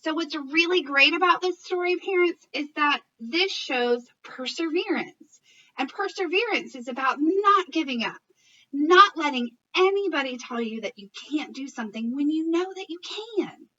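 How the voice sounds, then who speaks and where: High-voiced woman reading to young children. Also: moderate pace, recorded from a distance, dull audio. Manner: expressive, encouraging, questioning, addressing children and parents. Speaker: early childhood educator reading stories. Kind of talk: children's picture-book read-aloud with commentary.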